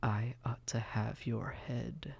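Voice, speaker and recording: A man playing a suave, haughty demon prince in a roleplay audio drama, measured and condescending, a seductive tempter with a gravelly voice that often slips toward a whisper. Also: medium pitch, steady tone, heavily acted. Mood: cold anger. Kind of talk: scripted roleplay audio drama, addressed to listener.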